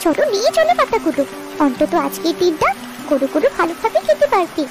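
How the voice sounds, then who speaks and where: Lady doing a really high voiced poor village girl in a cartoon, pleading n worried, lively swings, music behind. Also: quick pace. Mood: earnest. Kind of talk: Bengali moral cartoon dialogue.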